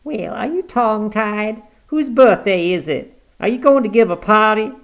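A man reading aloud, voicing a sharp-tongued, stubborn old woman on her deathbed who scolds and commands. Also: mid-range voice, lively intonation, moderate pace, voice pushed up, natural voice. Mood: scolding. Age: 80s.

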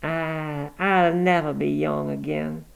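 A man reading aloud, voicing a sharp-tongued, stubborn old woman on her deathbed who scolds and commands. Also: medium pitch, steady tone, takes it slowly, gravelly, clear, resonant tone, voice pushed up. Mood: pleading. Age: 80s.

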